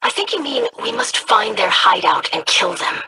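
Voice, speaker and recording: A woman's voice: mid-range voice, lively intonation, moderate pace, background noise, lightly acted. A woman voicing a proud young noblewoman in a video game, formal and cutting. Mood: sardonic, crisp.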